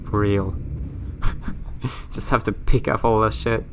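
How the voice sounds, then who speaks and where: A man's voice: lowish voice, steady tone, slow, slight background noise. Swedish-accented man narrating a horror game. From Sweden. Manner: rambling, self-mocking, swears casually. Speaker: gaming YouTuber. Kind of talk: casual horror game let's play commentary.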